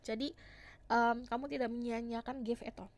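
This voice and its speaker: A young woman with a hoarse voice, chatting casually as she gives a tarot reading to her viewers. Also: fairly high pitch, level tone, moderate pace. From Indonesia. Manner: chatty, switches between Indonesian and English phrases. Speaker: tarot reader.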